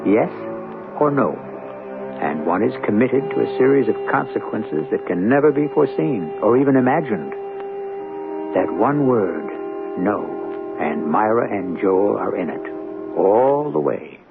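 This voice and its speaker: A man hosting a suspense radio drama, narrating in a measured, ominous, philosophical manner. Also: mid-range voice, vocal fry. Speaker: host and narrator. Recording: narrow old radio broadcast with music.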